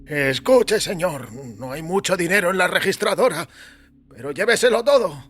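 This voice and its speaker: A man playing a terrified shop clerk, high pitch, swooping intonation, husky strain, pleading, audio fiction. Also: lively intonation, moderate pace, a bit bright, slight background noise. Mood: pleading, frightened. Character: frightened male shop clerk pleading at gunpoint.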